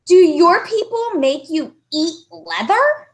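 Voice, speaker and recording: A woman's voice: very high voice, expressive, takes it slowly, pitched above the performer's own voice, exaggerated voice. A woman voicing a pushy, greedy schoolboy who makes demands, in a storybook reading. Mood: demanding.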